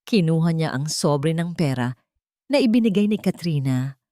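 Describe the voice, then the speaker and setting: A woman's voice: deep voice, expressive, normal speed, warm tone, dry recording, studio quality. A woman narrating a scripted romantic story in a dramatic style.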